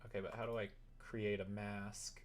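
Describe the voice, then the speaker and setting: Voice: deep voice, level tone, moderate pace. A livestreamer chatting casually and muttering to themselves while working, in an informal, self-deprecating way. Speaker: live streamer.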